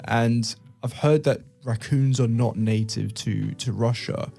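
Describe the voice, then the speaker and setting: A man's voice: medium pitch, steady tone, measured pace, creaky, dark tone, faint music behind. Chatty English man hosting a podcast. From England. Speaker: podcast host. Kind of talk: casual podcast chat.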